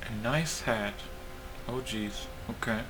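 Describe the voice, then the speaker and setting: A man giving casual gameplay commentary in short, muttered, low-key remarks. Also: slow. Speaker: gamer, let's player. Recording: gameplay audio with clear noise behind.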